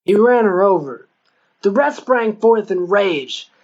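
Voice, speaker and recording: A man's voice: high voice, expressive, takes it slowly, darkish tone. Male audiobook narrator, slightly dull sound. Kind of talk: scripted narration of a comic novel.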